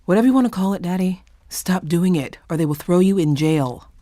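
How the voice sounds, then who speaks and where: A woman's voice: lowish voice, expressive, brisk, dark tone, dry recording, clean studio sound, natural voice. An actress playing a blunt, exasperated grown daughter in her forties in an audio drama. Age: 40s.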